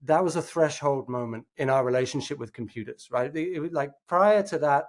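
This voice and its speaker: A man's voice: a little high, fairly quick. A man speaking as a guest in a podcast interview, who explains his views earnestly and thoughtfully with frequent fillers and restarts. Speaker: guest, innovation executive. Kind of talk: casual interview chat.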